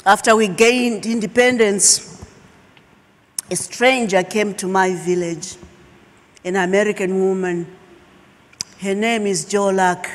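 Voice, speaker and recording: A middle-aged woman from Zimbabwe, a Shona speaker, telling her personal story as a keynote speaker with emphatic pauses. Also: mid-range voice, steady tone. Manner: slow, emphatic, dramatic pauses. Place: large auditorium stage. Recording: long hall reverb.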